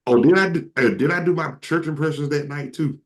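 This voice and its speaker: A man with a deep, carrying voice telling casual, joking stories to friends as a talk show host, slangy and quoting people as he goes. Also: fairly quick. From southern United States. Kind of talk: casual comic storytelling with friends.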